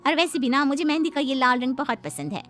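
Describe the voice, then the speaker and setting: A woman's voice: high voice, lively intonation, fast talker, a bit bright, pitched above the performer's own voice. A woman voicing vain, gossipy married wedding guests in a storybook tale, showy and catty. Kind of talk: storybook character dialogue. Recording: background music under the voice.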